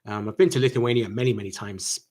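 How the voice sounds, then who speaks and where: An Irish man hosting a live-stream chat, answering viewers' questions in a halting way, with many ums and restarts. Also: lowish voice, fairly even intonation, a little mellow. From Ireland.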